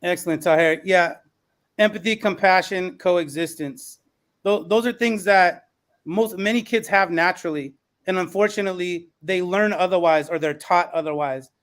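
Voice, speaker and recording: A man with a notably high voice moderates a podcast panel. He responds encouragingly, making his points in measured, emphatic phrases. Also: a bit bright.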